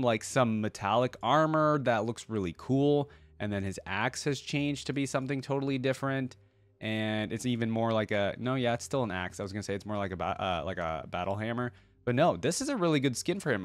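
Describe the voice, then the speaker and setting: A man's voice: mid-range voice, fairly quick, no room sound. Man giving casual reaction commentary, close dry sound. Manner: thinks aloud, addressing viewers. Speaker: host of game reaction videos. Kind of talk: casual reaction commentary with some reading aloud.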